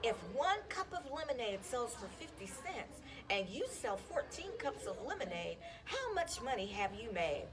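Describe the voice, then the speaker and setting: A woman voicing a patient woman teacher in a children's storybook, measured and instructive. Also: a little high, sing-song, far from the mic. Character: patient woman teacher posing math problem.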